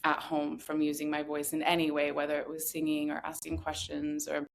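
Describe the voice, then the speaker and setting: Low-pitched woman in her thirties, earnest. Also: monotone, brisk, vocal fry, a little hiss or hum. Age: 30s. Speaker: podcast guest, somatic voice guide. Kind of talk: reflective personal interview talk.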